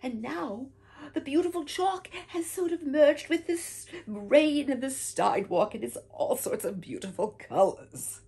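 An older woman reading a children's picture book aloud with theatrical whispers and swooping pitch, talking warmly to young listeners as "my dears". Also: lively intonation, unhurried, gravelly. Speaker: storybook reader. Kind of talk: expressive read-aloud for children. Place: home room with bookshelf, indoors.

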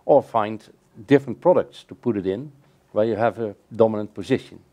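Low, mellow-voiced man explaining. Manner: measured, explanatory, frequent restarts. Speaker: keynote speaker. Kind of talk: answering questions in a panel discussion. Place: bright room by large windows over a canal.

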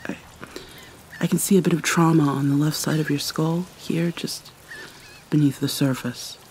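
A woman voicing a guarded, wounded vampire mermaid in an ASMR roleplay, speaking in a hesitant, halting, hushed and often breathy way. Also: deep voice, steady tone, a little hiss or hum, natural voice. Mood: guarded, explaining. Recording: rain and water sounds behind. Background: rain and pouring water.

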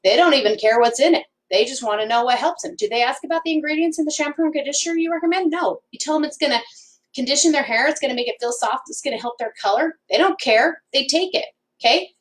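Hairdresser lady in her 40s teaching at a whiteboard, bit high voice, keeps going "okay?", sounds a bit far off. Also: quick pace. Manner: emphatic, ends points with "okay?". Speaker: hairdresser and salon owner. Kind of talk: whiteboard business talk to camera. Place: home room with bookshelf and whiteboard. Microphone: camera across the room. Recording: voice from a distance.

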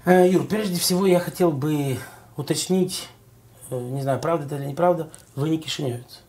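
A middle-aged man, hoarse from a cold, hosting a studio interview in a leisurely, chatty way. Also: fairly high pitch, fairly quick, darkish tone. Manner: leisurely, chatty questioning with frequent affirming interjections. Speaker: talk show host.

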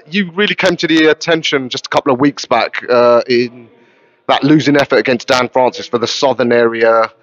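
A man's voice: medium pitch, fairly bright tone, dry recording, highs cut off. A man interviewing a boxer at a gym, asking long, rambling questions with plenty of ums and uhs. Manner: chatty, rambling questions with fillers. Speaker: boxing interviewer. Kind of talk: casual interview. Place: boxing gym, indoors.

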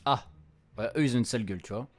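Young male streamer, informal and halting, translating a game aloud, music underneath. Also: medium pitch. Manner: informal, hesitant, stumbling while translating on the fly. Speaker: streamer, let's-play host. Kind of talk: casual playthrough commentary, translating game text aloud. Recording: music behind the voice.

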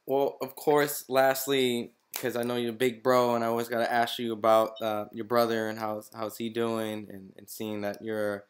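A man's voice: mid-range voice, flat delivery, normal speed, hardly any pauses. A man interviewing a boxer, asking long questions with many restarts and fillers. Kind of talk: casual interview.

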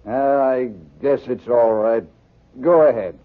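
A man playing a gruff, wary, laconic tenant who talks in slang, in a 1940s radio drama. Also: medium pitch, takes it slowly, lightly acted. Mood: grudging, laconic. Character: gruff, suspicious, slangy male flat tenant. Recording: muffled, scratchy 1940s radio recording.

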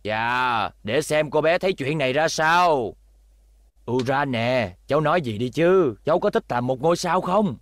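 A man's voice: medium pitch, expressive, moderate pace, natural voice. A man with a Southern Vietnamese accent voicing a greedy, blustering middle-aged fight promoter in a dubbed cartoon. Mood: scheming.

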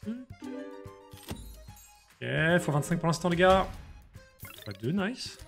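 A man, live-streaming slot games and chatting excitedly with viewers over a headset in a small room. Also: high-pitched, slow pace, faint music behind. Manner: excitable, slangy, chats with viewers. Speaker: casino streamer.

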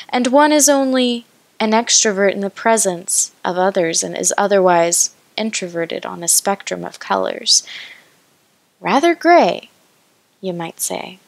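Woman reading her poem really slowly, short phrases with pauses, dry close sound. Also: mid-range voice, creaky, no echo. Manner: deliberate, pausing between short phrases. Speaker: poet reading own work. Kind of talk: poetry recitation.

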